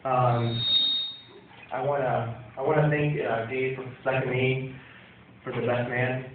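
Halting best man on a tinny phone. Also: medium pitch, flat delivery, background noise. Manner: halting, many fillers and restarts, chuckles. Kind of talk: wedding toast speech. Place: dim wedding reception hall, indoors. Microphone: handheld mic, filmed by a far phone. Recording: tinny phone video from far off, echoey room. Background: crowd of guests.

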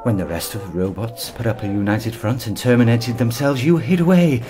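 A man's voice: mid-range voice, brisk, background noise, natural voice. An actor playing a sarcastic, condescending, self-serving traveller with menace in his audio drama performance. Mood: menacing.

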